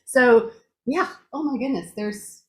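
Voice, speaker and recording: A woman's voice: on the high side, slow pace, fairly bright tone. A woman with a strong voice giving a chatty, gushing testimonial, laughing often as she talks. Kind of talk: casual testimonial chat.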